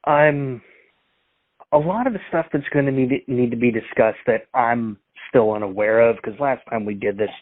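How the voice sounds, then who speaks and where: A man's voice: mid-range voice, moderate pace, no echo. Male prank caller; rambling, restart-heavy delivery; phone-line audio. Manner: rambling, full of fillers and restarts. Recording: tinny dry phone line.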